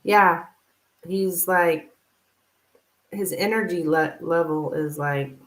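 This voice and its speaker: A woman hosting a casual live tarot reading, speaking informally and haltingly as if thinking aloud. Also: on the low side. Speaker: tarot reader, live stream host. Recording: roomy, echoing live stream sound.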